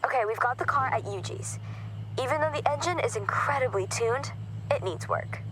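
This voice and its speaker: A woman playing a brisk, helpful car restoration contact in a video game, giving casual, businesslike updates. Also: high voice, lively intonation, noisy background. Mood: matter-of-fact. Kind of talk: scripted video game dialogue.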